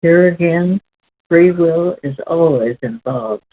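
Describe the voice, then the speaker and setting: Woman reading spiritual stuff out loud real slow and deliberate, halting bits, sounds phone-like and muffled. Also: lowish voice. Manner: measured, deliberate, halting phrasing. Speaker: host reading aloud.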